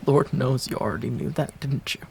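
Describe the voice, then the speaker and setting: A man acting a heartbroken ex-boyfriend in an intimate romantic audio roleplay, pleading in a halting, breathy, emotional way. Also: mid-range voice, a little mellow, slight background noise, lightly acted. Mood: tearful, pleading.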